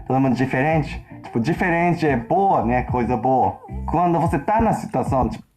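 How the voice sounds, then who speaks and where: A Japanese man speaking in halting learner's speech, searching for words as he gives a personal account, with faint music behind. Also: medium pitch, expressive, a little mellow. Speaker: interviewee in a played video.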